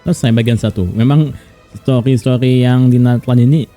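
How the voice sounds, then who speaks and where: A man with an Indonesian accent giving casual gameplay commentary in a husky voice. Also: mid-range voice, fairly even intonation, a little fast, dark tone. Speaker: game streamer. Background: game music.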